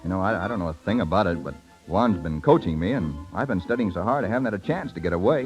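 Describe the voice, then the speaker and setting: An actor playing an eager, bashful young suitor in a 1930s radio drama, boyish and slangy, with halting speech. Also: male voice, low-pitched, lively intonation. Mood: wistful. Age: young adult. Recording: muffled 1930s radio broadcast with music.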